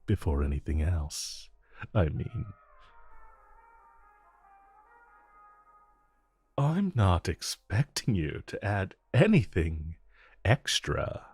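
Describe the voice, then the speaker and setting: Man playing a low, slow, flirtatious villain. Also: very animated, faint music behind, exaggerated voice. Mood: coaxing, flirtatious. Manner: smooth, teasing, unhurried drawl with deliberate pauses. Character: suave, flirtatious male supervillain courting his tailor. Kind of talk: romantic audio roleplay addressed to the listener.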